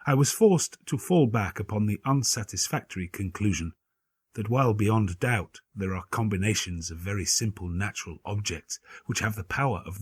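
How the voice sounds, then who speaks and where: A man giving a dramatic gothic audiobook narration in a grave, measured, theatrical manner, with a husky voice. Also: on the low side, dry recording. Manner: grave, measured, theatrical delivery with formal literary phrasing.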